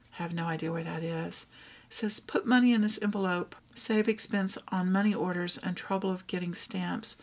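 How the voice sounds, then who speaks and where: A woman with a Texan accent, showing and reading out old thrift finds in a casual, gentle way. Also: lowish voice, breathy edge. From Texas. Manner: reads old items aloud, softly remarking on them. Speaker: vlogger. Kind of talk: casual thrift haul show-and-tell.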